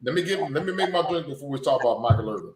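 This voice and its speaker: Male, 50s, co-host, blunt joker, animated voice, roomy echo. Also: medium pitch, racing, a crowd in the background. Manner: teasing, blunt. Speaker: podcast co-host. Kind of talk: casual sports chat.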